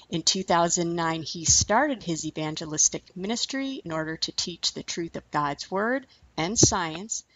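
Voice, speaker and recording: A woman with a lowish voice hosts a radio talk show in a smooth, polished announcer manner. The sound is dull and lo-fi, with a dry, close feel. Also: fairly bright tone, no echo. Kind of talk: radio hosting, scripted intro and interview.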